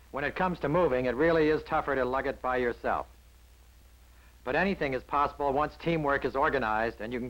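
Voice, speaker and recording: A man with an American accent narrates a campus newsreel in 1960s style. His delivery is scripted and polished, with a wry touch, at a middling pitch. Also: a bit bright, rough recording.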